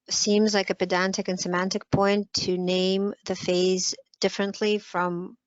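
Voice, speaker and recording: A woman's voice: fairly low pitch, dry recording. A woman lecturer answering a question with careful, precise explanation and many fillers. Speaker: guest lecturer. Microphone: video call. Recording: dull muffled web call audio.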